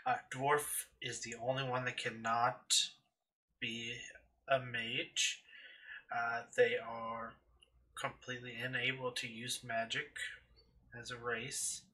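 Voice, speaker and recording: Bright-voiced man doing game commentary. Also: medium pitch, level tone, takes it slowly. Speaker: gaming channel host. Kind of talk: casual game playthrough commentary. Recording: distant mic with faint noise.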